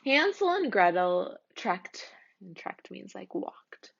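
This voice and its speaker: A woman's voice: mid-range voice, very animated, takes it slowly, gravelly, highs cut off. A woman teacher reading a children's storybook aloud and explaining words to children.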